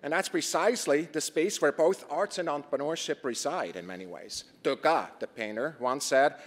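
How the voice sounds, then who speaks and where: A man with a Swiss accent giving an earnest conference talk, posing rhetorical questions and pausing on fillers. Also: on the high side. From Switzerland. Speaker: conference presenter. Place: conference stage. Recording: reverberant lecture hall.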